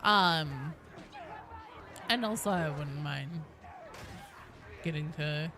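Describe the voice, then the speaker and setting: A woman's voice: deep voice, very slow, crowd noise, noisy background. A woman game streamer chatting casually and answering viewers, opinionated and enthusiastic, with casual swearing. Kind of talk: casual livestream chat reading and answering viewers.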